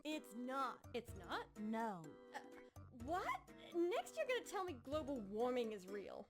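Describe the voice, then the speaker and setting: A woman voicing an excitable, opinionated young adventurer in a cartoon parody dub. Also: sits high, a little slow, music in the background, exaggerated voice. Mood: argumentative.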